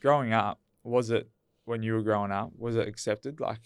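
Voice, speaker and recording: A man's voice: on the low side, fairly even intonation, moderate pace, clean tone, no echo, clean studio sound. A man co-hosting a podcast, asking long, rambling questions full of restarts. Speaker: podcast co-host. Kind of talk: casual interview chat.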